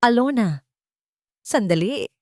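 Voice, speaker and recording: Woman voicing a desperate pleading husband, high-strung. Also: expressive, slow, mellow, no echo, clean studio sound. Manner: crying out, pleading. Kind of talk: audiobook drama dialogue.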